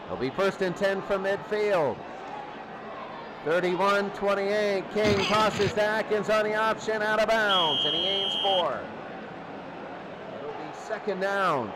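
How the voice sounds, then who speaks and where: Male sports announcer, high register. Terse live football calls over clear noise. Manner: terse calls, repeating down and yardage. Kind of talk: live American football commentary. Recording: clearly noisy recording.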